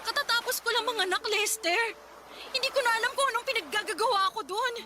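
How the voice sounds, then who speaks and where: A woman's voice: very high voice, fairly quick, very noisy. A woman playing a distraught, snappish young wife and mother in a Tagalog radio drama, blunt and exasperated. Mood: defensive, upset. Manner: exasperated, blunt, sharp-tongued. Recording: music behind the voice.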